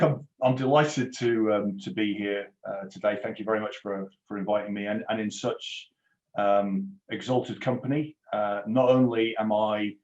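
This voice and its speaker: Middle-aged man, faint Scottish accent, lowish voice, dry self-mocking presenter, roomy dull call audio. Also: a little mellow. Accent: mild Scottish, softened. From Scotland. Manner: self-deprecating, dry humour, many fillers and restarts. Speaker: rugby union executive, webinar guest. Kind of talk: presentation on a webinar. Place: home office. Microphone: headset on a video call.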